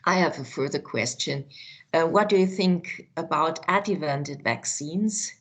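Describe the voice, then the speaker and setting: A woman's voice: deep voice, measured pace. A woman from the audience asking a tentative question.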